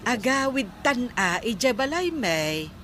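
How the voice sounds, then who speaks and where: An actress playing a patient, polite young wife in a radio comedy drama, speaking softly and respectfully. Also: female voice, on the high side, very animated, takes it slowly, exaggerated voice.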